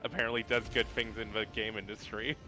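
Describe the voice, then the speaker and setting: A man's voice: on the low side, flat delivery, a little fast, over music. A man with a very nasal voice, chatting casually as a guest while playing a game, in a joking, self-deprecating way. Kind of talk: casual chat while gaming.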